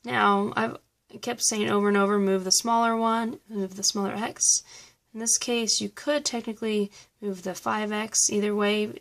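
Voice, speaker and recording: A woman math teacher talking through review problems step by step, slightly hoarse from losing her voice. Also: mid-range voice. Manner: patient, step-by-step explaining. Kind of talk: recorded math review lesson.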